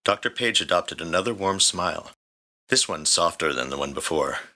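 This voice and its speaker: A man's voice: deep voice, expressive, normal speed, bright, forceful tone. A man reading scripted story narration as the narrator. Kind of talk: scripted fan fiction narration.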